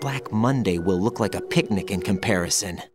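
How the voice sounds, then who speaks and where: An actor playing a grave strategist in a video game, explaining in a serious, expository way. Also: male voice, deep voice, normal speed, over loud music, lightly acted. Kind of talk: video game cutscene acting.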